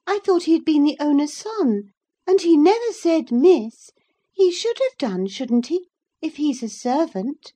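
Woman acting a high-pitched haughty little girl. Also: big pitch swings, measured pace, mellow. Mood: childish curiosity. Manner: imperious, petulant. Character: haughty, indignant young girl, spoiled and tearful. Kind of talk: audiobook character voice.

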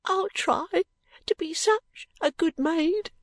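A woman voicing a timid, tearful, grateful little girl maid in an audiobook, with soft, breathy, halting and deferential unschooled speech. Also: very high-pitched, no echo, pitched above the performer's own voice. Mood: grateful, overcome. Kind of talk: audiobook character voice.

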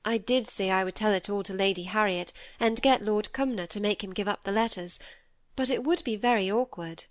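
A woman voicing a gentle, earnest young woman in an audiobook, apologetic and loyal. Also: medium pitch, a little fast, no echo, close to an everyday voice. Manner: earnest, soft-hearted. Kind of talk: acted audiobook dialogue.

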